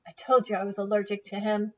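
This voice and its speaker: A woman's voice: mid-range voice, flat delivery, fast, lo-fi sound, lightly acted. A woman voicing a grumbling, dismissive caveman father for a storybook, in a distant recording.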